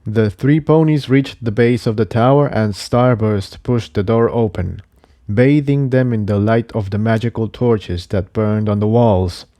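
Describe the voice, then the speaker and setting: A man's voice: on the low side, measured pace, dark tone. A man narrating an adventure story from a script. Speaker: narrator reading fan fiction.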